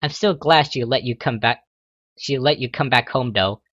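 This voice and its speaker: A man playing a doting, calm unicorn mother who coos and reassures her daughter in a story reading. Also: mid-range voice, normal speed, dry recording, lo-fi sound, lightly acted. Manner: cooing, reassuring.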